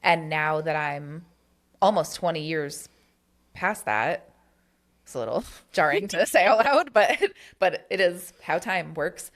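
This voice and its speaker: Woman co-host, deep low voice, candid, earnest political chat. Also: animated, unhurried. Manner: candid, earnest, swears casually. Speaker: podcast co-host.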